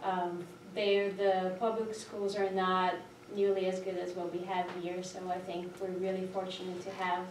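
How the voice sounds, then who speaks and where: A woman from Brazil with Portuguese as her first language speaks at an even, little-varying pitch. She introduces herself haltingly, pausing often as she goes. The recording is roomy and distant, with clear background noise. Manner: hesitant, halting with frequent pauses. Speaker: school board candidate. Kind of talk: informal candidate introduction speech. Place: indoor public board meeting, far microphone. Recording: roomy, noisy, distant meeting-room microphone.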